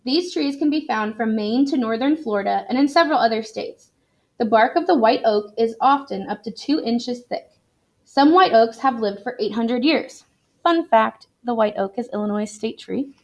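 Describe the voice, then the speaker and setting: A woman's voice: on the high side, expressive, moderate pace. A woman reading a children's book aloud in an explanatory, teacherly way for young learners. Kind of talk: expressive read-aloud for children.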